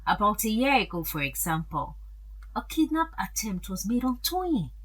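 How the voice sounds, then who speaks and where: An actress playing a wealthy, gracious older aunt in an audio drama, chatty and confiding, with a hesitant, deliberate delivery. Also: female voice, medium pitch, sing-song, fairly bright tone, breathy edge. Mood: confiding, animated.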